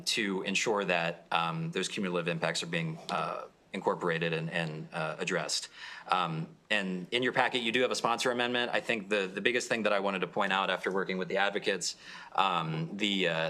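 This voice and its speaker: A young man, a legislator, explaining his bill to a committee in a halting way with many fillers. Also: sits low, sharp tone. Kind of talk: testimony at a committee hearing.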